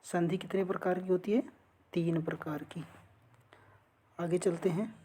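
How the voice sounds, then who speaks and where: A woman's voice: fairly low pitch, steady tone, measured pace, mellow, slight background noise. A woman teaching an exam-prep lesson, reading out quiz questions and answering them in an instructional way. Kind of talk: lesson going through multiple-choice quiz questions.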